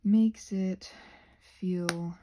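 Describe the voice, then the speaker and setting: A woman chatting in a rambling, halting ASMR style, soft-spoken and often slipping into whispers. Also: lowish voice, steady tone, slight background noise. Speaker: ASMR creator rambling while painting a craft project. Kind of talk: ASMR rambling casual chat.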